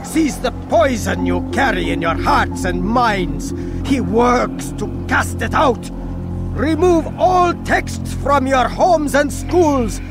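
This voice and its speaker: A fanatical male zealot from a video game, preaching in a high, slow, declamatory voice. He is fervent and defiant, and loud music plays behind him. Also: lively intonation, heavily acted. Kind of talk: video game cutscene acting.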